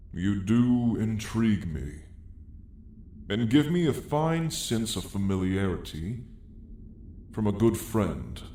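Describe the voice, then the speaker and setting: An ancient, lonely dragon played by a man in an ASMR fantasy roleplay, with a very low voice that echoes around him. He speaks slowly and solemnly in old-fashioned phrases, gentle and wistful. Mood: gentle, inviting. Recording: echoey space with faint hiss.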